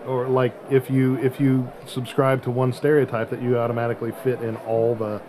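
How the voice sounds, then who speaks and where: Male podcast host, mid-range pitch, casual and halting delivery, audible chatter in the background. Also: completely flat delivery. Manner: halting, searching for words.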